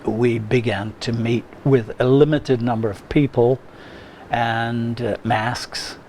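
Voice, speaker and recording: A man answering interview questions slowly and haltingly, with frequent uhs. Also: mid-range voice, fairly even intonation, a little mellow, slight background noise. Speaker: guest, lodge member. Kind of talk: casual interview.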